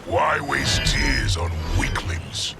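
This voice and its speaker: An actor playing a tyrannical, contemptuous emperor warlord with a pompous, commanding delivery in a video game. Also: male voice, mid-range voice, animated, extremely slow, vocal fry, heavily acted. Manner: commanding, pompous, shouted orders. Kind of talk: video game cutscene acting. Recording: loud music over the voice.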